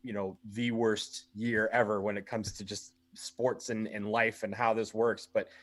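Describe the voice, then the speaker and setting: A man's voice: fairly low pitch, flat delivery, unhurried, a bit bright. A man talking frankly as a podcast guest and fan, in a conversational way. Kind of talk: casual chat.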